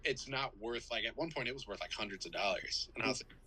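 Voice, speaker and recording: A man's voice: mid-range voice, fast, pushed and bright, slight background noise, low-quality recording. A man co-hosting a podcast, rambling casually about movies with lots of fillers. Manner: rambling, filler-heavy, self-deprecating. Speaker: podcast co-host.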